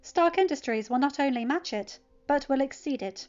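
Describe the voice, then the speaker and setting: Narrator lady as classy businesslike mom, polite and assured, higher voice, muffled audiobook sound. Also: expressive, moderate pace, darkish tone. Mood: assured, generous. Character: poised, businesslike, protective wealthy mother. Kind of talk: audiobook character voice for fan fiction. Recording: dull lo-fi home recording.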